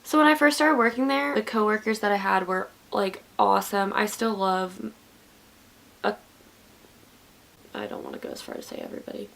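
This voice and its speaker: A woman's voice: medium pitch, sing-song, normal speed, gravelly, long pauses, fairly bright tone. A woman in her twenties telling a story in a rambling, chatty way while getting ready. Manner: rambling, chatty with many likes. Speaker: vlogger.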